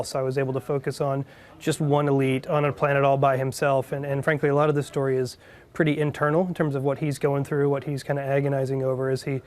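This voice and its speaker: A man's voice: medium pitch, darkish tone. A man giving a behind-the-scenes interview as a writer, thoughtful and hesitant as he explains his work. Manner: hesitant, thoughtful, frequent uhs.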